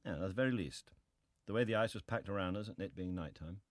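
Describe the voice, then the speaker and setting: A man acting as a ship's captain on the witness stand, with a low voice recorded dry. He is clipped, restrained and matter-of-fact, in the style of dramatized testimony. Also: fast talker, no room sound, lightly acted. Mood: terse, restrained. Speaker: actor reading hearing testimony. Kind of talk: dramatized reading of historical testimony.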